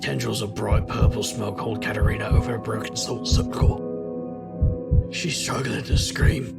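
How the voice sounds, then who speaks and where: World-weary occult detective, lowish gravelly voice, wry, brooding noir narration over loud music. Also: male voice, fairly even intonation, moderate pace. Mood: grim. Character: world-weary, wry middle-aged male magician detective. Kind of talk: first-person noir narration, audio drama.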